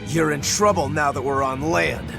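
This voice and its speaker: A man's voice: a little high, fairly even intonation, normal speed, vocal fry. An actor playing a wary, serious fighting man in a video game. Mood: challenging. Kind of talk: English video game dub acting. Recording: loud music behind voice.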